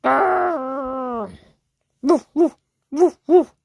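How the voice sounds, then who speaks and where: A woman voicing a fierce dog in a storybook read-along, with loud growls and repeated woofs. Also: sits high, drawn-out words, vocal fry, no echo, heavily acted.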